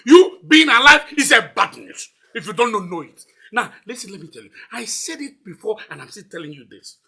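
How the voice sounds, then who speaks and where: An actor playing an irate, bullying older father-in-law with a Nigerian accent and a raspy voice, scolding in repetitive outbursts. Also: sits high, sing-song, fast, sharp tone, heavily acted.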